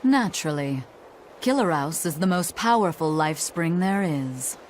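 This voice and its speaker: A woman playing a calm, knowing scholar in a fantasy video game, explaining things in a measured way. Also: low voice, slight background noise. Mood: calm, explanatory.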